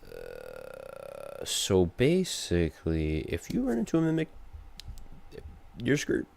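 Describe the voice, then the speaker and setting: A man reacts to a video in a casual, slangy way. His voice is low and his delivery halting, with a pitch that jumps around in a very lively way. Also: vocal fry.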